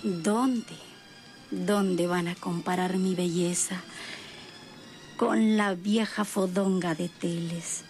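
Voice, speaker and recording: Slow, creaky, scheming villainess. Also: female voice, on the low side, steady tone, a little mellow, soft background music. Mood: vain, seductive. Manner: slow, gloating, breathy asides. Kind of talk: radionovela acting, soliloquy.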